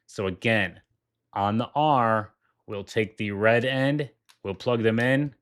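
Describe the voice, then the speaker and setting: A man's voice: on the low side, slow, fairly bright tone, clean tone. A man hosting a tech video, walking through a product demo with enthusiasm and repeating his points for emphasis. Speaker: tech reviewer.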